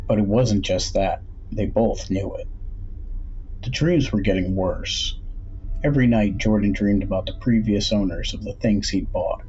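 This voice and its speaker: A man's voice: fairly low pitch, mellow. A man reading a scripted horror story as an audiobook narrator. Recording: dull, noisy home recording.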